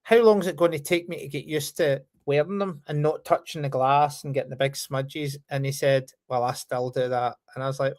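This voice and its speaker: A man's voice: fairly high pitch, fairly even intonation, brisk, hardly any pauses. A man in his forties from near Glasgow, with an anglicised Scottish accent, talking casually as an interview guest, with lots of ums and easy laughs. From Scotland, near Glasgow. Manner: chatty, frequent ums, laughs easily. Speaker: guest, company founder.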